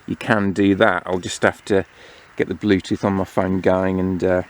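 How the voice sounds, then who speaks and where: A man giving a casual, unhurried hands-on product review, explaining each feature as he goes. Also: deep voice, little pitch movement. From United Kingdom. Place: outdoors among greenery. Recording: clear outdoor background noise.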